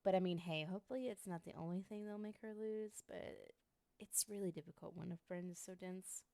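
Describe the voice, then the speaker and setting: A woman's voice: lowish voice, brisk, creaky, dark tone, no room sound. A woman playing a lovestruck, obsessive young woman in an ASMR roleplay, talking to the listener in a coy, rambling, hesitant way. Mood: lovesick, exasperated.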